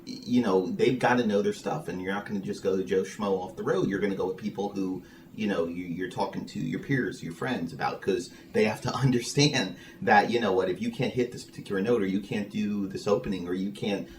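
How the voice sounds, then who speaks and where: A man's voice: fairly low pitch, fairly quick. A man hosting a casual podcast interview, talking in a wordy, emphatic way with repeated phrases. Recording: noticeable background room noise.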